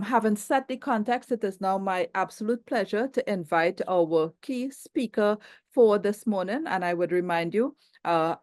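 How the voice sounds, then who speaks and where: A woman hosting a governance event, giving a formal, measured introduction of the keynote speaker. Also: medium pitch, darkish tone, no echo, clean studio sound. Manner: measured, formal, reading from notes. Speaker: event host and moderator.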